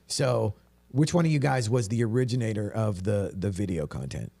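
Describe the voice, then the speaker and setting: A man's voice: on the low side, normal speed, vocal fry, mellow. A man co-hosting an interview in a joking, self-deprecating way, with a fondness for catchphrases. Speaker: co-host. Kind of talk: casual interview talk.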